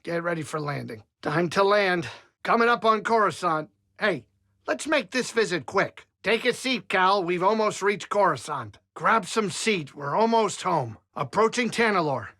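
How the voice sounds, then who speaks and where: A small-time alien starship pilot from a video game, high-voiced and bright. He grumbles and wisecracks in a folksy way, good-hearted underneath, with very lively swings of pitch in a dry, close recording. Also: unhurried, dry recording, full character voice. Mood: brisk. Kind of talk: animated video game character dialogue.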